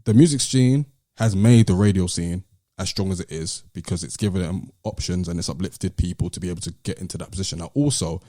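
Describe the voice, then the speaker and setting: A man's voice: low-pitched, brisk, mellow, no echo, studio quality. A British man in a casual podcast discussion, explaining his view in a careful, hedging way. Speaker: podcast host.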